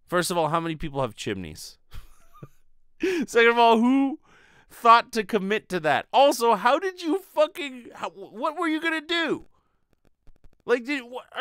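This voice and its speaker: A man's voice: high voice, a bit bright, clean tone, no echo. A man in his thirties doing casual, sweary comedic commentary as a show host, in an incredulous, ranting manner, close on a desk mic at home. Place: small home bedroom. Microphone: desk microphone with pop filter, close.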